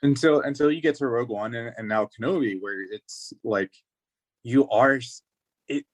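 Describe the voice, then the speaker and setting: A man's voice: medium pitch, moderate pace. A man talking casually as a podcast panelist, working through his points in a hesitant, circling, analytical way. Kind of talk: casual discussion of a TV series.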